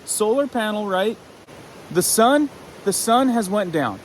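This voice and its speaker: A man's voice: high-pitched, lively intonation, measured pace, a little hiss or hum, low-quality recording. A man giving a casual, enthusiastic product review, conversational as he runs through features.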